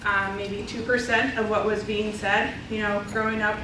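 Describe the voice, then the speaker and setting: A woman's voice: medium pitch, little pitch movement, moderate pace. Woman speaker in a distant, echoey hall. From Idaho, USA. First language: Spanish. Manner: conversational, many ums. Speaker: panelist, ESL instructor. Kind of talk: personal talk to an audience. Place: college symposium hall. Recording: echoey hall, mic far off, noisy.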